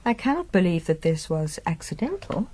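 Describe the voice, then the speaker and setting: A woman's voice: on the low side, big pitch swings, moderate pace, warm tone. A young woman playing a virtuous, ardent noble daughter in a Gothic radio drama, earnest and formal. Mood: coyly probing. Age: young adult.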